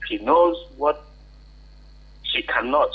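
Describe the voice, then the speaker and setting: Husky Nigerian man on a tinny phone line. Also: mid-range voice, slow pace. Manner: insistent, questioning, repeats points for emphasis. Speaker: call-in contributor. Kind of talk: unscripted advice on air.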